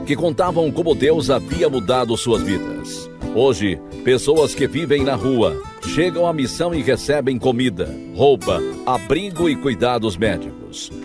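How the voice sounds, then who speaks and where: A male radio announcer reads scripted, dramatic narration. His mid-pitched voice moves with very lively intonation over clearly audible guitar music. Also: a little slow, few pauses. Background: music, plucked guitar.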